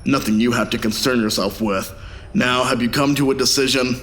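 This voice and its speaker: A man playing a stern ship's captain in an audio drama, grave, measured and commanding. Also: mid-range voice, fairly even intonation, fairly bright tone, slight background noise, natural voice. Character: stern, authoritative male military ship captain.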